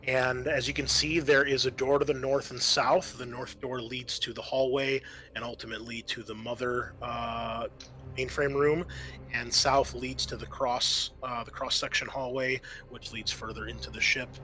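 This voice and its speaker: A man's voice: medium pitch, flat delivery, normal speed, sharp tone. A man acting as game master, explaining a scenario and thinking aloud as he recalls the rules.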